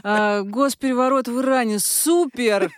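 A woman's voice: a little high, moderate pace, background noise. A woman co-hosting a podcast in casual chat, wry and teasing. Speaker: co-host.

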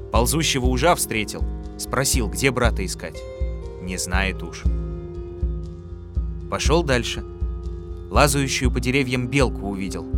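Male storyteller, calm rhythmic folk-tale narration, mid pitch, music bed behind.

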